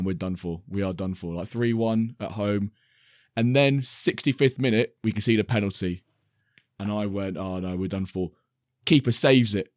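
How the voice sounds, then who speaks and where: A man co-hosting a podcast, his voice slightly croaky, telling a match story in an animated way. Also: on the low side, dry recording, studio quality. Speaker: podcast co-host. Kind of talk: casual football chat.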